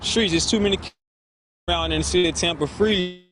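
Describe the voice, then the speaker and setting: A man's voice: high-pitched, fast, fairly bright tone. A man making a public appeal as a community leader in a news interview. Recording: noisy outdoor news interview.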